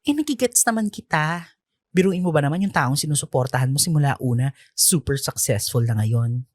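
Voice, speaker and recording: A woman's voice: low-pitched, animated, fairly quick, no echo, studio quality. A woman playing a sassy, teasing best friend in a radio drama, with playful, exaggerated, slangy delivery. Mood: playful, bossy.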